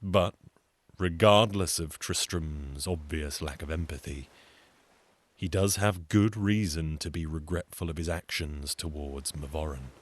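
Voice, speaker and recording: Low-voiced man telling a folktale. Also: unhurried, no room sound. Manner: dry, wry asides. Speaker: storyteller. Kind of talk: theatrical folktale storytelling.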